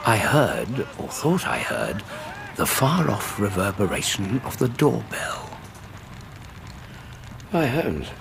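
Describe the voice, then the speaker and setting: An actor playing a gentlemanly Victorian English doctor narrating a radio drama in a measured, eloquent way. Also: male voice, on the low side, creaky, noisy background, exaggerated voice. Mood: measured, intrigued. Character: Doctor Watson, gentlemanly Victorian male narrator and loyal friend.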